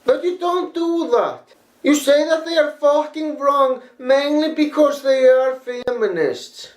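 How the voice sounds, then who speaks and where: A man's voice: very high-pitched, slow pace, reverberant. A man reading a prepared critique in a blunt, profane, lecturing manner. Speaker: video critic. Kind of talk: reading a prepared response video.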